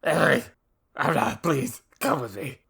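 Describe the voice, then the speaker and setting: A woman voicing a sulky, pleading teenage goth boy in a comic story reading, muttering and halting. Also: extremely low pitch, gravelly, a bit bright, husky, full character voice.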